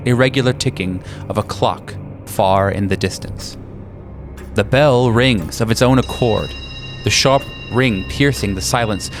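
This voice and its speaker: A man with a fairly low voice narrates tabletop horror in the second person. His delivery is deliberate and ominous, like scripted storytelling, with music clearly playing underneath. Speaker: game master.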